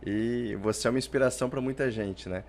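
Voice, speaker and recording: A man's voice: mid-range voice, brisk, darkish tone, a little hiss or hum. Guy in his 30s, podcast guest, chatty, says né a lot, mid voice. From Portugal, raised in Brazil. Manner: chatty, explains with "né?" tags. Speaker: podcast guest, startup founder. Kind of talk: conversational interview answers.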